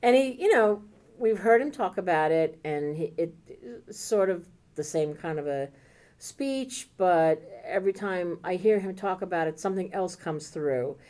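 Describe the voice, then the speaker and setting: A woman's voice: lowish voice, expressive, normal speed, darkish tone. A woman co-hosting a podcast, talking enthusiastically about a favorite book in a rambling, casual way. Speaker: podcast co-host. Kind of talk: casual chat, book recommendations.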